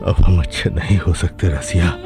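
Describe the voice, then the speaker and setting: A man acting a dying, despairing old sultan and father in a radio play, halting and repeating his words. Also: low-pitched. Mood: weary, despairing. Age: older. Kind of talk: radio drama acting in Hindustani. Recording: loud music bed under radio play.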